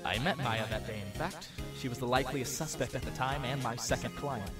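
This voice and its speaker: A man's voice: mid-range voice, fairly quick, distant mic, over loud music, close to an everyday voice. A man playing a wry, self-deprecating young rookie defense attorney in an audio drama, narrating in a sarcastic, conversational way. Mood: wry, upbeat. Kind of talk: fan audio drama acting.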